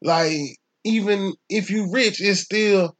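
A man's voice: high-pitched, steady tone, slow pace. Profane Atlanta man with a raised voice. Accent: Southern US (Atlanta). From Atlanta, Georgia, US. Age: young adult. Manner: profane, rambling, emphatic. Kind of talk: casual conversation.

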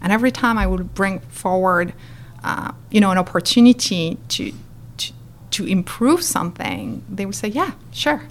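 Expressive French-accented woman telling stories. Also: medium pitch, normal speed, slight background noise. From France. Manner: animated, expressive, acts out quotes. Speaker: guest. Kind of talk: storytelling interview.

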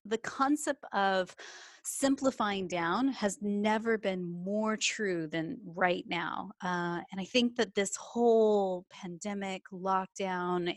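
A woman's voice: medium pitch, no room sound, studio quality. A woman from Texas with a Texan accent, chatting about her small business in a casual interview, upbeat and full of fillers. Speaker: small business owner and coach. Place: home, working remotely.

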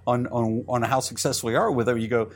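A middle-aged man from Israel whose first language is Hebrew talks as an interview guest. He speaks fast and keeps restarting his sentences. He repeats words as he goes. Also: mid-range voice, fairly even intonation. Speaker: entrepreneur guest. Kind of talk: interview conversation.

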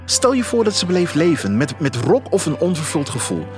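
An insistent male therapist acted out by a man reasons persuasively in a radio drama. His voice is pushed higher and moves emphatically up and down, with music underneath. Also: sing-song, moderate pace. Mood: earnest, persuasive.

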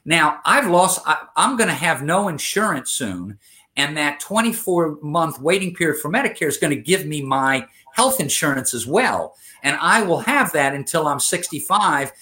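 A man's voice: fairly high pitch, big pitch swings, normal speed, bright, forceful tone. A man in his fifties giving an interview, speaking earnestly, with frequent fillers and restarts. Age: 50s. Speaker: interview guest. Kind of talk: conversational interview.

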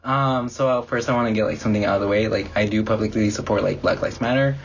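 A young man whose first language is Spanish, answering interview questions in an earnest, halting way full of "like". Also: lowish voice, fairly even intonation, lo-fi sound, a little hiss or hum. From Mexican-American community. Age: young adult. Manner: earnest, halting, heavy use of "like". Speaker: interviewee, student.

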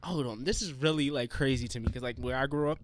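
A man's voice: medium pitch, quick pace, a little hiss or hum. Young New man, casual and profane. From United States. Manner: animated, swears a lot, acts out quoted speech loudly. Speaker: podcast host. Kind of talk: casual chat.

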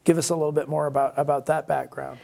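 A man with a fairly high voice hosts a conversational podcast. His phrasing is halting, and he often interrupts himself to rework a sentence. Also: level tone, vocal fry, warm tone. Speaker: podcast co-host, professor.

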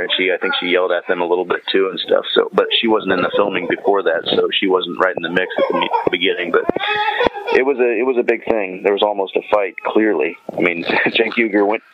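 Slangy low-voiced man, dull lo-fi audio. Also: fairly even intonation, fairly quick, background noise. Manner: slangy, often chuckling as he talks. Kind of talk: casual chat, animated retelling.

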